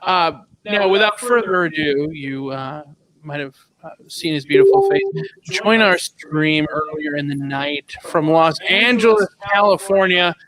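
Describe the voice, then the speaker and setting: A man's voice: on the high side, a little slow, a loud crowd, rough recording. A man hosting a streamed comedy show, bantering and introducing comics over a video call. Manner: upbeat, lively banter and introductions.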